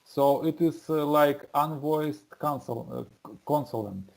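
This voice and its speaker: Slow, creaky non-native English learner. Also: medium pitch, rough recording. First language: not English. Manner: halting, searching for words. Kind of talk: hesitant lesson talk, repeating words to practise.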